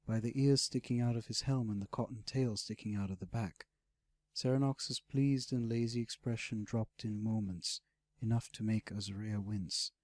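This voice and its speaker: A man narrating a scripted fantasy audiobook as a dramatic, expressive storyteller. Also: medium pitch, dry recording.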